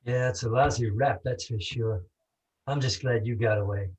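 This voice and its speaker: A man's voice: medium pitch, fairly even intonation, moderate pace, darkish tone, natural voice, poor audio. A man playing a condemned, lovestruck small-time crook with slangy tough-guy talk in a noir radio play. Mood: fond, resigned. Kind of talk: 1940s noir radio acting, live community theatre.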